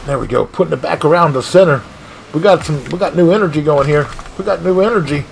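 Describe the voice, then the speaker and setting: A man streaming a car soccer game, commentating his own play with excited outbursts and frustration at near misses. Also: a little high, fast, vocal fry, slight background noise. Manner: animated, exclaiming, frustrated at missed shots. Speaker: gaming streamer. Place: home gaming room.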